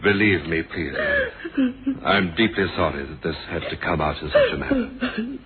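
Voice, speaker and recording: A man acting a courteous, probing police inspector in a radio mystery drama, formal and measured, firm but humane. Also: sits low, wildly swooping pitch, a loud crowd. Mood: gentle, regretful. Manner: formal, measured, polite questioning. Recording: muffled, noisy old radio drama recording.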